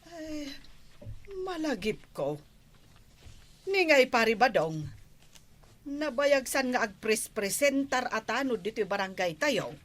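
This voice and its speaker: A woman's voice: a little high, very animated, slow, long pauses, exaggerated voice. A female actor with an Ilocano accent playing a nosy, nagging village woman full of questions in a radio comedy drama. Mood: exasperated, suggesting. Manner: questioning, exclamatory.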